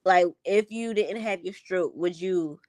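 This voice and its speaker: A woman's voice: on the low side, measured pace, a little mellow, dry recording. Candid young woman, dry close sound. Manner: candid, fills speech with "like". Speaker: podcast co-host. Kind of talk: casual chat.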